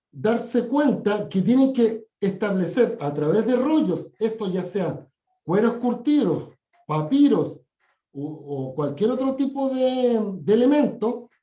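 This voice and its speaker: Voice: mid-range voice, dark tone, echoey room, dull audio. A Bible class teacher giving a classroom lecture, often repeating phrases and correcting themself mid-sentence. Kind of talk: classroom lecture on scripture history.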